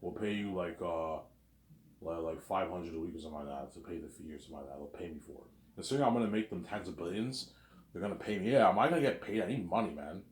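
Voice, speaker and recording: A man's voice: low voice, fast, roomy sound. A man in his thirties from Quebec, rambling in a casual monologue and acting out imagined talks. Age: 30s. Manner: rambling, repeats himself, acts out both sides of imagined talks. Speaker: vlogger.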